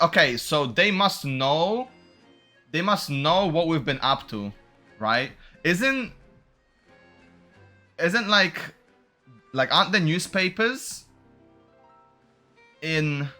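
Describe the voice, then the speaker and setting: Man, game streamer, bright forceful voice, medium pitch, slangy blunt casual commentary, swearing, faint music behind. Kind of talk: casual gaming commentary, reacting to story scenes.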